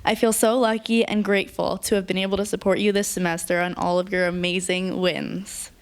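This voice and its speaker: Young female student presenter, clear smooth voice, earnest prepared remarks. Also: medium pitch, flat delivery, a little fast. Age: young adult. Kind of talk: prepared tribute speech.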